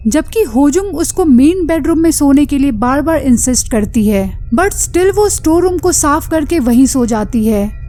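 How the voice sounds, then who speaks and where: High-pitched woman narrating film recaps. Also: warm tone. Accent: Indian. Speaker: narrator of film explainer videos. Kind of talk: scripted plot recap narration mixing Hindi with English words.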